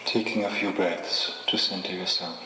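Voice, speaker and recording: A man leading a guided meditation as a teacher, speaking in an unhurried, gently instructing way with pauses. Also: low-pitched, fairly even intonation. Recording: echoey reverberant room with faint noise.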